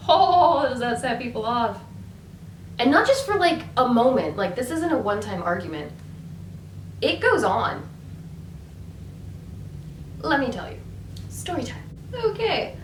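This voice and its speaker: A woman's voice: fairly high pitch, sing-song, unhurried, long pauses, a little hiss or hum. A woman vlogging in a casual, chatty storytelling way, full of fillers and restarts. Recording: echoey room from a distance with faint ambience.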